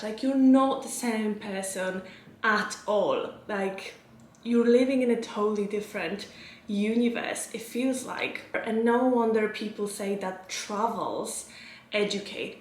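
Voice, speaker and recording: Polish-accented woman vlogger in an echoey room. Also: fairly high pitch. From Poland. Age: young adult. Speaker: vlogger and coach. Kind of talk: casual talk to viewers. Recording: roomy, echoing indoor sound.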